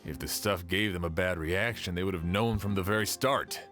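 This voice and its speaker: A deep, doubtful male official. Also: fairly quick, fairly bright tone, faint music behind. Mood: skeptical. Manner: questioning and doubtful. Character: skeptical adult male official who commands men. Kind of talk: video game voice acting.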